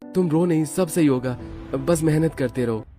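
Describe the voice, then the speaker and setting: A man's voice: a little high, normal speed, mellow, soft background music, voice pushed up, close to an everyday voice. A man voicing a poor, kindly husband burdened by debt in a moral cartoon, pleading and reassuring in manner. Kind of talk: Hindi moral cartoon voice acting.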